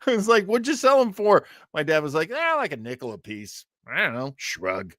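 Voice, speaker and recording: An American man hosting a casual livestream from his home studio, talking in a halting, earnest, self-deprecating way. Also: fairly high pitch, wildly swooping pitch, fairly bright tone, dry recording. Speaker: live show host.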